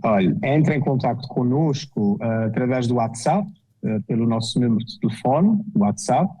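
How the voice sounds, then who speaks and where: Azorean Portuguese man, mellow voice. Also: mid-range voice, lively intonation, normal speed, breathy edge. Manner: hesitant, with many fillers and restarts. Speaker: guest architect. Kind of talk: casual interview talk.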